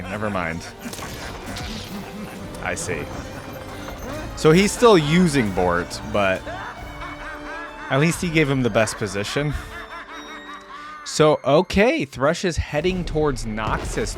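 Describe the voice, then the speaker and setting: A man's voice: mid-range voice, very animated, slow, long pauses, over music. A man hosting a reaction video, commenting casually as he watches.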